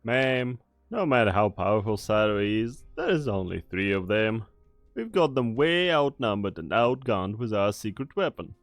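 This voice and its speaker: Man doing a nervous young soldier, animated pitch, stammering, dry recording. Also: normal speed, dry recording, soft background music, lightly acted. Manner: stammering, eager. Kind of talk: amateur fan dub acting.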